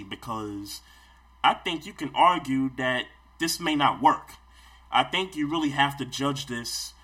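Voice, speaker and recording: A man co-hosting a sports podcast, talking casually with halting repeats of his words. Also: mid-range voice, bright, forceful tone. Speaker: podcast co-host.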